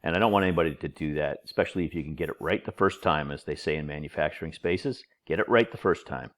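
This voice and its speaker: A man's voice: rumbling low, fast. A middle-aged man, a college instructor, giving a recorded online lecture over slides, a little nasal from a cold, informal and chatty with joking asides.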